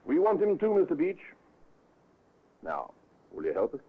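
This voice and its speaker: An actor playing a measured, methodical police inspector in an old radio drama, dry and official with careful pauses. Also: male voice, on the high side, wildly swooping pitch, husky, heavily acted. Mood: sombre, probing. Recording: muffled, lo-fi old radio recording.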